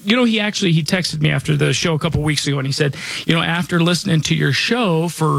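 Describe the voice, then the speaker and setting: A radio host in his forties talks in a casual, rambling way, restarting sentences and leaning on fillers. His voice is raspy and a bit hoarse, with a gravelly edge and a pitch on the higher side for a man. The sound is dry and close. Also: no room sound. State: slightly hoarse from chest trouble. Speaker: radio show host and financial advisor.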